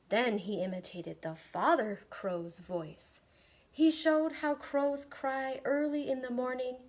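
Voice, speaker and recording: An American woman, a teacher, reading a picture book aloud with deliberate, expressive phrasing meant for children. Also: on the high side. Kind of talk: read-aloud storytelling.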